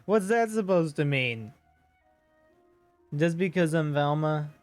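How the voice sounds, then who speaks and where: A deep-voiced man talks casually and jokingly to his viewers from a home streaming setup. A soft bed of game music sits low behind him. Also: lively intonation, takes it slowly, smooth, no echo. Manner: chatty, joking, reads viewer messages aloud.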